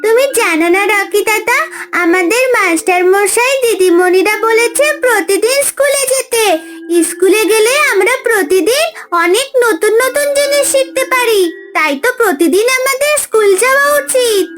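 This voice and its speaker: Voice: very high voice, moderate pace. A performer voicing a playful, whiny but obedient young schoolgirl in a cartoon, with a small childlike voice. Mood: earnest. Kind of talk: cartoon story dialogue. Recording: music behind the voice.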